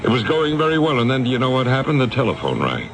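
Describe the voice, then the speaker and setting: An American man playing a smooth, evasive, callous company boss in a 1970s radio drama, with a glib, patronizing manner. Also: medium pitch, wildly swooping pitch, quick pace, poor audio. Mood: callous, casual. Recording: vintage radio drama with music.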